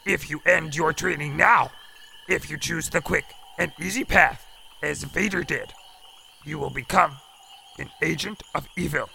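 Croaky ancient little alien master. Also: male voice, a little high, sharp tone, a little hiss or hum, heavily acted. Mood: stern, urgent. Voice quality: gravelly, croaky. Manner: inverted word order, slow deliberate speech. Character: ancient small wise male alien Jedi master, stern mentor. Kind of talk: audio drama acting.

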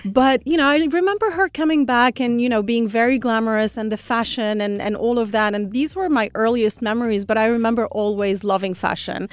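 A middle-aged woman from Algeria, a fashion entrepreneur answering radio interview questions in a measured, thoughtful way with frequent fillers. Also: a little high, no echo. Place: radio studio.

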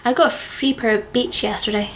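A young woman chats casually and giggles often on a podcast, leaning on filler words. Her voice sits fairly high, with a faint hiss underneath. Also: fairly even intonation, fairly quick. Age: young adult. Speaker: podcast co-host. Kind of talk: casual chat.

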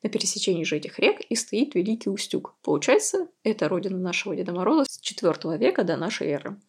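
A woman hosting a quiz podcast, talking listeners through the answers in a chatty, explanatory way. Her voice is husky and breathy. Creaky fry runs through her phrases. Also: medium pitch, big pitch swings, quick pace. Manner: chatty, explanatory, with light laughs. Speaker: podcast host.

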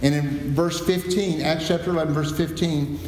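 Middle-aged pastor in an echoing church. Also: male voice, a little high, fairly even intonation, normal speed, a little mellow, slight background noise. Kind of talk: sermon with scripture reading. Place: church sanctuary. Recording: very echoey hall, long reverb.

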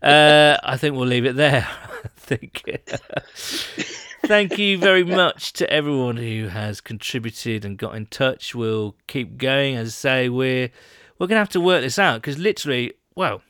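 Guy rambling and giggling through podcast questions, normal pitch, dry close mic. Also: a little slow, fairly bright tone, clear, resonant tone, no room sound. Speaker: podcast co-host. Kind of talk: casual chat.

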